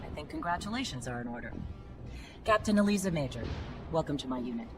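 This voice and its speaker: A woman's voice: low-pitched, expressive, quick pace. A woman acting as a stern, ruthless military captain in a video game, giving clipped, authoritative orders. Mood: formal, commanding. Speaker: military commander briefing soldiers. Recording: music behind the voice.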